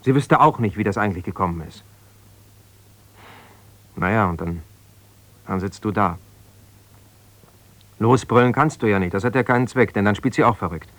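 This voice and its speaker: A fairly low, closely dry-recorded voice of a jealous, wounded husband played by a male actor in a naturalistic radio play. He is a lawyer who explains himself haltingly and colloquially, as if confiding over the telephone. His delivery is self-justifying and aggrieved. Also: fairly bright tone, no room sound, close to an everyday voice. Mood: resigned, frustrated. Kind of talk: naturalistic radio drama, one side of a phone conversation.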